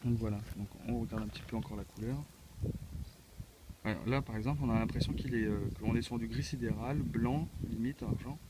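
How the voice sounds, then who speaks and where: A man's voice: mid-range voice, steady tone, fairly quick, heavy background noise. A man with a cold giving a casual, hesitant unboxing walkthrough as a tech reviewer, with many filler sounds. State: has a cold.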